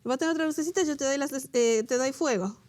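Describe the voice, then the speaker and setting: A woman from Latin America leading a conversational Bible teaching, animated and quick to laugh, turning emotional over a personal story. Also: high-pitched. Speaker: co-host of a Bible study show.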